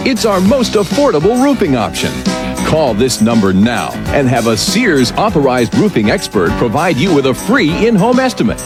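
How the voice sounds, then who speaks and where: Lively male commercial announcer over music. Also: a little high. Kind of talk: scripted TV-style sales pitch.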